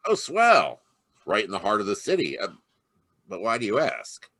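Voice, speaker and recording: A man's voice: lowish voice, wildly swooping pitch, moderate pace, a bit bright, full character voice. A man doing a brash police inspector. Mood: casual. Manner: casual, folksy, slangy. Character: brash male police inspector, plain-talking. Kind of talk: live online radio drama reading.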